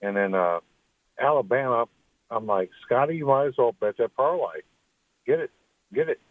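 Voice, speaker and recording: A man calling into a sports radio show, loud, enthusiastic and rambling. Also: mid-range voice, moderate pace, dry recording. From Louisville, Kentucky. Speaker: caller. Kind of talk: excited sports radio call. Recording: tinny phone line.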